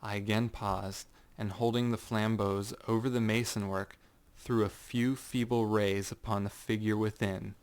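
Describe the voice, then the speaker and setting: Man acting a low-voiced, cold, vengeful gentleman storyteller. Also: level tone, clear, resonant tone, natural voice. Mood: grimly deliberate. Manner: theatrical, deliberate, swelling into sudden outbursts. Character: cold, vengeful gentleman narrator recounting his murder. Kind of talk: dramatic gothic audiobook narration.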